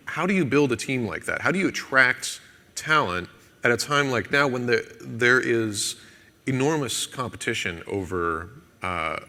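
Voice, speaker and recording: Bright-voiced male interviewer in a hall. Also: on the low side. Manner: halting, self-correcting questions. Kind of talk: on-stage conference interview. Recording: long hall reverb.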